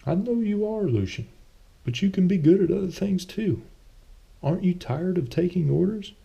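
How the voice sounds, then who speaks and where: A man's voice: high voice, lively intonation, moderate pace, gravelly, dark tone, breathy edge, lo-fi sound, pitched above the performer's own voice, exaggerated voice. A man voicing a pious, wealthy older man in a storybook reading, earnest and persuasive. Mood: pleading.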